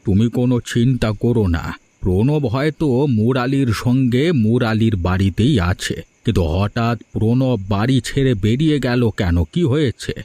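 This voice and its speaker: A man voicing a considerate, paternal village landowner in a cartoon, speaking in a measured, advising way. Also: mid-range voice, mellow, no echo, close to an everyday voice. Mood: calm, reassuring. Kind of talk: scripted cartoon dialogue. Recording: music behind the voice.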